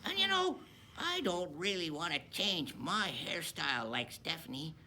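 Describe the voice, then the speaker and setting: A woman's voice: fairly low pitch, very animated, moderate pace, pushed and bright. A woman voicing a cheerful, wacky young pony in a children's book discussion, with some background noise. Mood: cheerful, musing.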